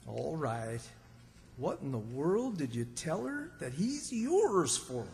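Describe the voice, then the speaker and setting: A man's voice: on the high side, wildly swooping pitch, slow pace, vocal fry, darkish tone, heavily acted. A man playing a naive, timid country character with a rural Southern accent in old-time radio comedy, folksy and hesitant. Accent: American South, rural. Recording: echoey hall, long reverb.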